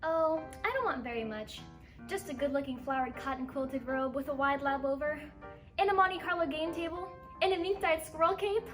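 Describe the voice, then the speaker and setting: A woman's voice: high-pitched, normal speed, fairly bright tone, recorded from a distance, soft background music. A woman playing a snooty, affected, spoiled little rich girl in an old-time radio advertisement. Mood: smug.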